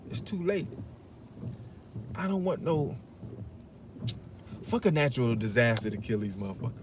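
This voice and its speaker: Man rambling over loud road noise. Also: mid-range voice, very animated, normal speed. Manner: loose, profane, full of fillers and "you know what I'm saying". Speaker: commentator. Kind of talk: casual rambling monologue.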